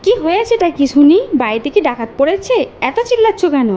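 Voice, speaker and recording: A woman voicing a cruel, sharp-tongued mother in a melodramatic cartoon, scolding and threatening. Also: high-pitched, big pitch swings, fast, muffled recording. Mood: angry, accusing.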